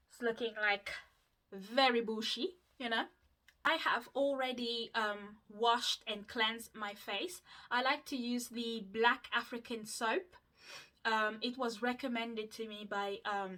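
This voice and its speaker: A woman's voice: fairly high pitch, fairly bright tone. A woman, chatting casually to her viewers while doing her skincare, with lots of fillers and asides. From Mauritius. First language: Mauritian Creole. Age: 20s. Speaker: vlogger.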